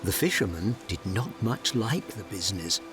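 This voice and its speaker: Male narrator for fairy tales, lowish voice, unhurried and dramatic, music underneath. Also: lively intonation. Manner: measured, dramatic storytelling. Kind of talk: scripted storybook narration.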